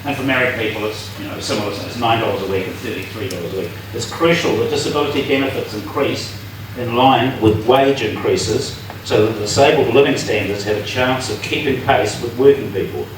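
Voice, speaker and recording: A man's voice: mid-range voice, brisk. A New man from the audience puts a pointed question to candidates, reading from his notes. He is heard from a distance in a big, echoing hall with faint noise. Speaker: audience member asking a question. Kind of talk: public forum question. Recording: echoey hall from far off.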